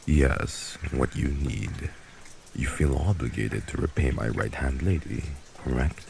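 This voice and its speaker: A man playing a heartless, commanding vampire mafia boss in an ASMR audio drama, gravelly and speaking in a formal, grave, measured manner. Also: extremely low pitch, a little mellow, slight background noise, exaggerated voice. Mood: stern, commanding.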